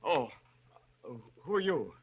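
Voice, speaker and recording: A man's voice: fairly high pitch, big pitch swings, unhurried, noisy background. An actor playing a nervous, guilty murderer telling his story in a radio drama, terse and hardboiled, with an American accent. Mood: feigned casual. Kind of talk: 1940s radio acting, dramatic narration. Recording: muffled old radio, loud music behind.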